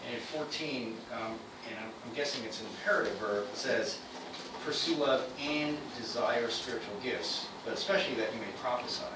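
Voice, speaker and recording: A student in a Bible class puts questions to the teacher, thinking aloud as he goes. He sits far from the mic, so his voice comes through a very echoey room. Loud hiss covers the recording. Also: mid-range voice, moderate pace. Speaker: class participant.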